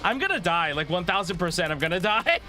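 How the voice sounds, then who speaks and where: A man with a raspy voice chatting casually and rambling in a self-deprecating way as he livestreams a game. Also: sits high, big pitch swings, fast, pushed and bright, soft background music. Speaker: livestreamer, VTuber host. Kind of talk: casual gaming stream chat.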